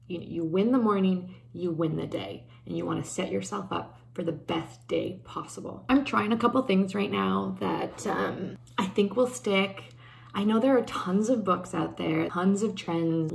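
Gravelly-voiced young Canadian woman vlogging. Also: lowish voice, a little hiss or hum. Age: young adult. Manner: earnest, reflective, upbeat; gesturing with her hands while talking. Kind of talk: casual talk to camera. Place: bedroom indoors.

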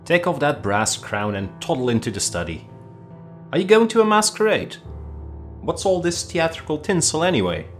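A man's voice: a little high, very animated, normal speed, faint music behind, voice pushed up. A man reading a story aloud, voicing a brash, hearty young male army officer who teases his cousin. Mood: teasing. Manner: hearty, teasing, breezy.

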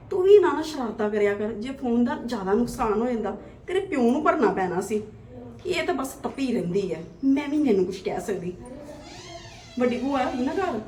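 A woman playing a patient elder sister-in-law who calmly placates and reasons in a family drama. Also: on the high side, echoey room, distant mic, a little hiss or hum, lightly acted.

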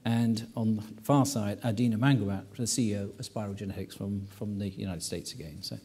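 A middle-aged man chairing a conference panel, introducing speakers in a relaxed, joking way. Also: lowish voice, fairly quick, a little hiss or hum. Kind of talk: moderating a panel. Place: large hall, conference stage.

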